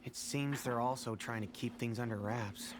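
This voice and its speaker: A man's voice: medium pitch, background noise, close to an everyday voice. A man voicing a serious mercenary leader. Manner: briefing, measured and serious. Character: steady male mercenary crew leader. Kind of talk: game voice acting. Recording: game audio with music behind.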